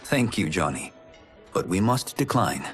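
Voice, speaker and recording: An actor playing a humble, earnest warrior monk in a video game, polite and formal. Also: male voice, low-pitched, big pitch swings, unhurried, fairly bright tone, faint music behind, full character voice. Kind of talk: cinematic game cutscene acting.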